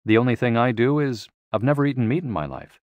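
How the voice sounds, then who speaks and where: A man's voice: medium pitch, very animated, fast talker, no echo, narrow, tinny audio, voice pushed up. A very old woman as voiced by a male narrator looks back on life in an audiobook. The delivery is simple, contented and reflective. The sound is dry and close. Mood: fond, reflective. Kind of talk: audiobook quotation.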